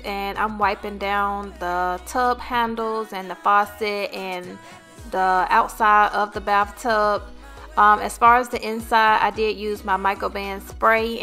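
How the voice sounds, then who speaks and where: Bright-voiced American woman narrating over music. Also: medium pitch. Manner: chatty, informal. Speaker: host of a cleaning vlog. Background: background music.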